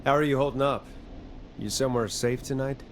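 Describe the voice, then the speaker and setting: A man's voice: mid-range voice, steady tone, a little fast, a little hiss or hum, lightly acted. A man playing a wry, easily irritated late-night radio talk show host in a video game, with practised on-air patter.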